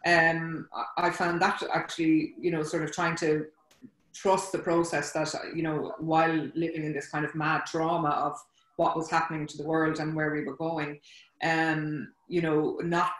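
A woman's voice: sits low, reverberant, low-quality recording. A woman in her thirties with an Irish accent, a native Irish speaker, speaking reflectively with many fillers as an artist on a webinar panel, recorded over a video call at home. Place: home room.

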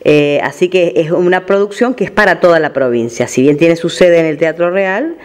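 A man's voice: on the high side, normal speed. An Argentine man hosting a conversational radio interview, asking and commenting as he goes.

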